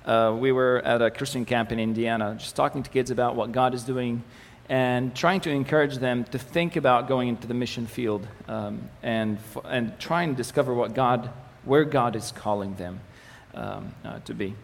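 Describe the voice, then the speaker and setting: A young man from the Galilee whose first language is Arabic, presenting his ministry to a church audience in a relaxed, joking manner, with many fillers and restarts. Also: mid-range voice, moderate pace, creaky, hardly any pauses. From Israel, Galilee. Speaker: ministry communications manager giving a presentation. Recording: echoey hall with faint noise.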